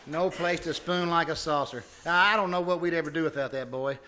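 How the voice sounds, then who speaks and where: A man's voice: fairly high pitch, steady tone, a little fast, close to an everyday voice. A man playing a boastful, folksy small-town husband who blusters and interrupts in a live radio comedy. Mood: folksy, chatty. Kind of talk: live old-time radio comedy acting. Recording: noisy live stage performance.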